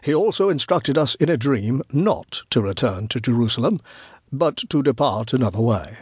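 A man voicing a wise old magus in a storybook, courteous and measured, tender yet firm. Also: medium pitch, lively intonation, husky, no room sound, studio quality. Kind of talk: storybook narration character voice.

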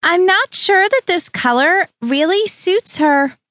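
A woman voicing a snobbish middle-aged future mother-in-law who talks in a prim, condescending, drawn-out way. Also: very high voice, expressive, a bit bright, no echo, voice pushed up, heavily acted. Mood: disapproving.

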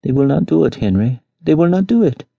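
A man's voice: medium pitch, big pitch swings, normal speed, gravelly, slightly rough, no echo, muffled recording, voice pushed up, full character voice. A man voicing a wealthy young wife who pleads in a broken, trembling voice, in a story reading. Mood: tearful.

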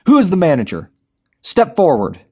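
Male reader doing a foul-mouthed, barking bank robber; lively, swinging pitch. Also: measured pace, slightly rough. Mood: threatening. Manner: shouting, vulgar, commanding. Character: violent male bank robber yelling orders.

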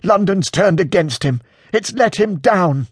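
Man acting a shrill, bitterly sarcastic man. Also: expressive, measured pace, slightly rough, no echo, studio quality, full character voice. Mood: urgent, angry. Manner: hysterical, cutting, mock-dramatic. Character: bitter, hurt, quarrelsome man, sarcastic and theatrical. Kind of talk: audiobook character acting.

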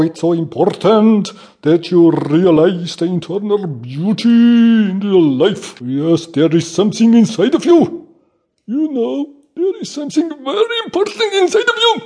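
A man playing an over-excited preacher in a comic radio play, effusive and repetitive, swinging between solemn and giddy. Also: sits high, full character voice. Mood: solemn, fervent. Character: over-excited preacher gushing about inner beauty and joy. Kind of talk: parody sermon in a comic short radio play.

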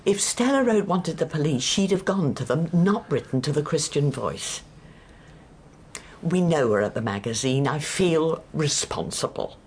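An actress playing an earnest, fussy older English spinster in a radio drama, self-deprecating, apologetic and brisk. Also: female voice, deep voice, expressive, a little mellow, slight background noise.

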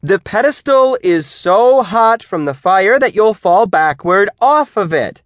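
A man's voice: high voice, lively intonation, a little slow, no room sound, voice pushed up. A man voicing a bossy, relentlessly peppy female gym teacher who exclaims everything, in an audiobook. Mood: strained insistence. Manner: exclamatory, shouting cheerleader enthusiasm. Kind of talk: audiobook character voice.

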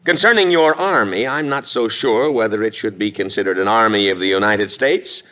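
An actor playing a calm, skeptical, shrewd mayor in classic radio drama, speaking in a measured, formal, dryly ironic way. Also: male voice, on the low side, close to an everyday voice. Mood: dry, firm. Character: calm, wry, skeptical small-city mayor, civil but shrewd. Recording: dull vintage radio recording.

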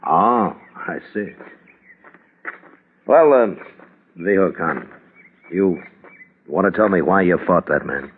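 Tough weary western lawman, american, deep voice, tinny vintage radio. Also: lively intonation, slow pace, long pauses, exaggerated voice. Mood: patient, grave. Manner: curt, authoritative. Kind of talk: 1950s radio western acting. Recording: crackly, tinny 1950s radio recording.

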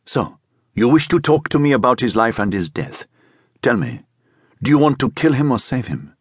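A man's voice: medium pitch, very animated, normal speed, breathy edge, dry recording, clean studio sound. Man voicing a conflicted, easily swayed younger man. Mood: scornful.

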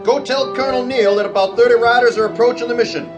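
An actor playing an alert, brisk military officer in a radio drama, projecting commands. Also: male voice, very high voice, steady tone, highs cut off. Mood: urgent, commanding. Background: brass music.

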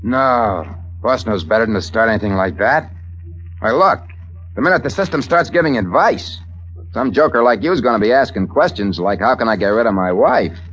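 A man's voice: fairly low pitch, animated, normal speed, a bit bright. A man playing a slangy, impatient American salesman in a 1950s radio drama, folksy and wisecracking. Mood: grumbling, animated. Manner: folksy, colloquial, exasperated with children. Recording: dull vintage radio recording.

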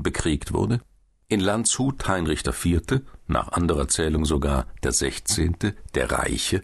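Male history narrator, low register, measured delivery with an ironic edge. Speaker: audiobook narrator. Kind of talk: scripted narration of a history book.

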